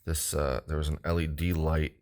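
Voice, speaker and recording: A man's voice: very deep voice, level tone, moderate pace, a little mellow. A man giving a casual hands-on product review in an offhand, plain-spoken, slightly hesitant way. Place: indoors at a wooden table.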